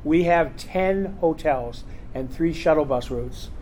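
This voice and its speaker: A rather high-voiced man talks casually in a convention panel room. He rambles loosely and leans on "um" and "you know" as he goes, like someone used to hosting these talks. There is a faint hum of room ambience behind him. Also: slow, a little mellow. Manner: rambling, chatty, full of fillers. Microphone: recorder in the room.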